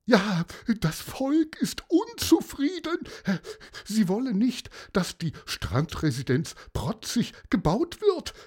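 A man's voice: high voice, huge pitch swings, takes it slowly, darkish tone, breathy edge, pitched above the performer's own voice, exaggerated voice. A man voicing a fretful male adviser who stammers and wails with worry. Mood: panicky. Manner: stammering, wailing, breathless worry.

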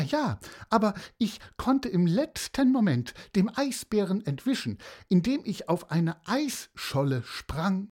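A woman voicing a rambling, woeful female seahorse who tells tall tales slowly, with many hesitations. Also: mid-range voice, darkish tone, pitched above the performer's own voice. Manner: slow, hesitant, stammering with fillers. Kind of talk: storybook character voice.

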